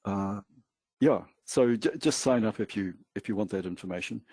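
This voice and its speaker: A New Zealand man with a low, husky voice talks loosely through a trading webinar, pausing often with fillers. His voice is close and dry, with no room around it. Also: no echo. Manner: relaxed, hesitant, many fillers. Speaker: webinar co-host. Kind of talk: casual webinar talk.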